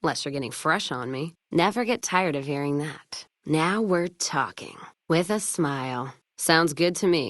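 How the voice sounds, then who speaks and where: Hard-drinking frontier woman, deep female voice, blunt, sardonic, folksy, foul-mouthed, close dry studio sound, game companion. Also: expressive, normal speed, hardly any pauses, dry recording. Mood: wry, sardonic. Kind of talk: video game character voice lines.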